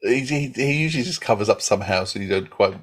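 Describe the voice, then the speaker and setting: A man's voice: on the low side, animated, quick pace, breathy edge. A man co-hosting a podcast, chatting casually in stop-start bursts with repeats. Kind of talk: casual chat.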